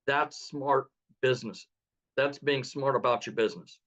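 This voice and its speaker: A man of middle pitch makes an earnest, persuasive pitch. His voice comes through a muffled, lo-fi video call. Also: moderate pace, fairly bright tone. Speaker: guest speaker. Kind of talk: webinar testimonial. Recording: muffled lo-fi online call audio.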